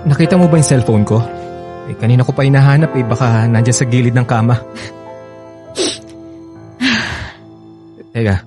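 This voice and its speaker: An actor playing an evasive, complaining husband in a Filipino radio drama, defensive and whining. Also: male voice, mid-range voice, fairly even intonation, quick pace, lightly acted. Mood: uneasy, pleading. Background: background music.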